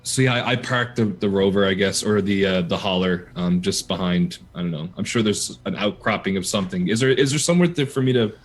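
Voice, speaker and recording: A man's voice: sits low, a little fast, hardly any pauses. A man casually describing his moves as a player in a tabletop game, offhand and hesitant. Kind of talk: casual improvised role-play narration.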